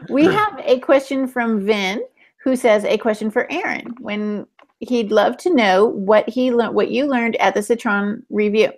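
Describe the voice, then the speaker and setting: A woman's voice: a little high, unhurried. A woman co-hosting a writing podcast, talking casually in a dry, matter-of-fact, teasing way. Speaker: podcast co-host and writer.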